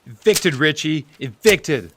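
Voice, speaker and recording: A man's voice: a little high, lively intonation, slow pace, noisy background. A man acting in an audio drama as a bitter, struggling family man and older brother, earnest and pressing. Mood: angry, desperate.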